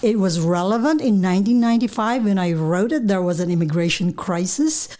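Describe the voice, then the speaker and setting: A woman's voice: mid-range voice, animated, fairly quick, mellow. Middle-aged female guest, Filipino accent, mid-range voice, conversational interview delivery. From Philippines.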